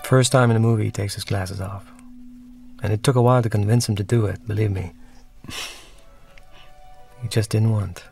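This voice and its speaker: A man's voice: lowish voice, fairly even intonation, moderate pace, creaky, pauses often, darkish tone. A man giving informal film commentary as its director, reflective and often searching for words. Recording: music behind the voice.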